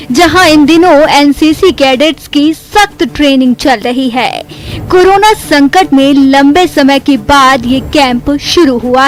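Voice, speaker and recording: A woman's voice: high voice, low-quality recording. A woman reading scripted news narration as a voice-over. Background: faint background music.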